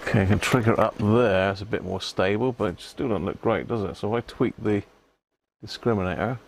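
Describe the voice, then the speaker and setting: A man's voice: lowish voice, brisk, vocal fry. British man muttering over noisy background. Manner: muttering to himself, tag questions. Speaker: live stream host repairing radios. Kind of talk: casual live bench commentary.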